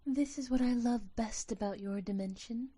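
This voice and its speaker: Woman doing a little fairy creature for an audio drama, soft mellow highish voice, earnest n tender, talks formal. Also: moderate pace, natural voice. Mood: earnest, gentle. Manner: formal, solemn phrasing. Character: tiny delicate winged female sprite, earnest and tender.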